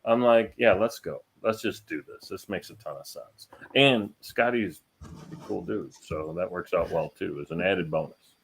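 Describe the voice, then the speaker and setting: A man's voice: low voice, normal speed, creaky, slight background noise. A man co-hosting a podcast, joking and self-deprecating in casual chat. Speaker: podcast co-host.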